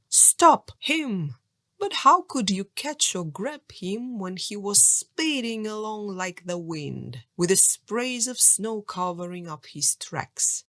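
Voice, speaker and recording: A woman narrating a children's folk tale audiobook in an expressive, scripted storytelling style. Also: mid-range voice, dry recording, studio quality.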